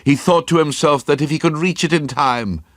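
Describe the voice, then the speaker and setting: A man giving a dramatic, brooding and deliberate scripted narration of a ghost story, as in a radio play. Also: on the high side, no room sound, clean studio sound.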